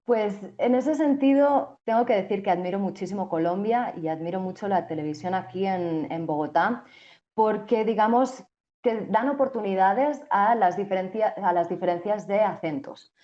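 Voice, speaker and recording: A woman's voice: mid-range voice, moderate pace, mellow, lo-fi sound. A young woman from Spain with a Spanish accent, a journalist answering interview questions thoughtfully, with frequent restarts and fillers. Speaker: TV journalist and co-host.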